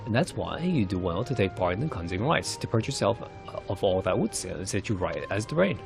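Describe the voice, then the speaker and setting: Man, low pitch, creaky fry, formal theatrical storytelling, game dialogue read aloud, faint background music. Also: very animated, brisk. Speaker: streamer narrating game story. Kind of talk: reading game cutscene dialogue aloud in character.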